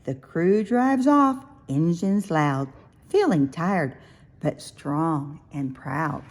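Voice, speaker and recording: A woman reading a rhyming picture book aloud for young children, with expressive, sing-song, deliberate phrasing. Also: low voice. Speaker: children's storybook reader.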